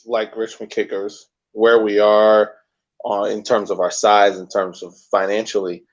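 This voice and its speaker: Low-voiced man on a dull mic. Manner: measured, hesitant, lots of ums. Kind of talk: casual sports chat.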